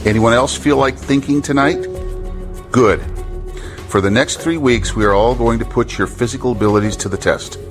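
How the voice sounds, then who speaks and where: An actor playing a harsh, domineering military drill sergeant in an audio drama, commanding and sarcastic. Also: male voice, medium pitch, normal speed, lightly acted. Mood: sarcastic, angry. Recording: music behind the voice.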